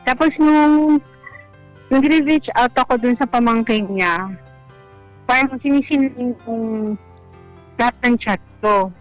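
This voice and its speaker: A Filipino caller with a mid-pitched voice tells a personal story on a radio show. The delivery is casual and halting, full of re-enacted bits of conversation. Light music plays behind. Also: poor audio. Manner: halting, retells conversations as quoted dialogue.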